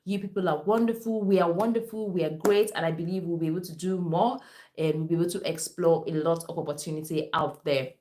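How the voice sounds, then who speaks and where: A woman's voice: lowish voice, steady tone, a little fast, a little mellow. A Nigerian-accented woman whose first language is Esan, a nurse hosting a live stream, reading viewer comments and repeating herself as she talks straight to her audience. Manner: repetitive, addresses viewers directly.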